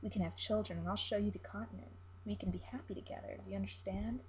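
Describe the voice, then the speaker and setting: A woman's voice: medium pitch, steady tone, fast talker, a little mellow, a little hiss or hum, close to an everyday voice. Lady doing a manipulative ship captain guy in a story, coaxing, controlling. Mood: hushed coaxing.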